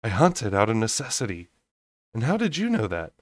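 A man's voice: lowish voice, wildly swooping pitch, normal speed, no echo, clean studio sound. Man reading as a curt, guarded young huntress. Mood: defiant. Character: defiant, guarded nineteen-year-old human huntress.

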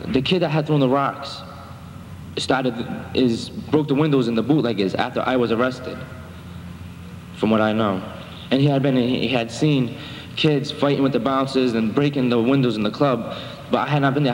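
Young man, defendant, rambling defensive talk, echoey courtroom, long reverb. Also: medium pitch. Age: young adult. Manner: rambling, defensive, filler-heavy. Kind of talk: testimony in court. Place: municipal courtroom. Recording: echoey courtroom, faint noise.

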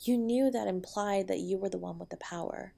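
A woman's voice: mid-range voice, fairly quick, vocal fry, dark tone. A woman playing a tender, protective wife in an intimate audio roleplay, soothing and affectionate as she comforts her husband. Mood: gentle reassurance.

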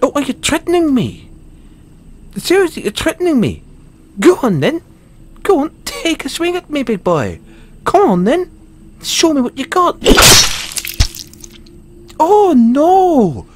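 A man's voice: extremely high pitch, huge pitch swings, slow, pauses often, a little mellow, exaggerated voice. A man with a British accent playing a cocky, foul-mouthed, stammering Dullahan social media wannabe in a comedic audio roleplay. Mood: taunting, then outraged, boastful. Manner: stammering, sweary, boastful.

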